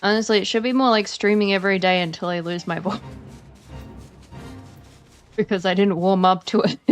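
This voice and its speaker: A woman's voice: mid-range voice, fairly even intonation, moderate pace, long pauses, smooth, soft background music. Chatty Australian woman streaming a game. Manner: chatty, rambling, lots of fillers. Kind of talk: casual stream chat.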